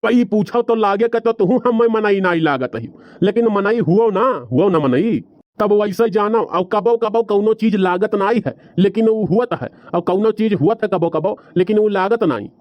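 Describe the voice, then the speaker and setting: A man's voice: high-pitched, moderate pace, no echo, lo-fi sound, lightly acted. A man voicing an argumentative, excuse-making village husband with an Awadhi accent in a cartoon comedy. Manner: rambling, bantering, colloquial.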